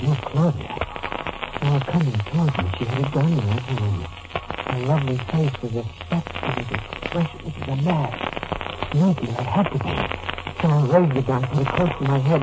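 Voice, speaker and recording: Male actor for paranoid fugitive doctor, vintage radio suspense: medium pitch, haunted and melodramatic, very noisy old broadcast sound. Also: normal speed, lightly acted. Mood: haunted narration. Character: tormented, paranoid male doctor on the run, narrating his nightmare. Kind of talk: 1940s radio suspense acting, first-person narration and dialogue. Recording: noisy, crackling 1940s radio recording.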